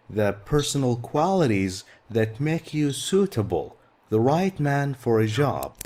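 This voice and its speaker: A man's voice: medium pitch, a little mellow. A middle-aged man whose first language is Arabic, teaching an English textbook lesson patiently and repeating key words.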